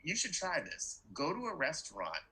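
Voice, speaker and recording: A man's voice: on the high side, wildly swooping pitch, normal speed, bright, forceful tone, slightly rough, low-quality recording. A young man from America talking casually and emphatically in a group conversation, informal and talkative. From United States. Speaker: student participant in a group discussion.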